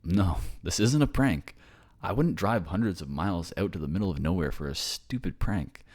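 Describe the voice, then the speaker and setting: A man's voice: sits low, expressive, moderate pace, a little mellow. A man acting in an ASMR roleplay as an earnest, reassuring boyfriend raised by ghosts, with a hesitant manner. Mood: insistent, pleading.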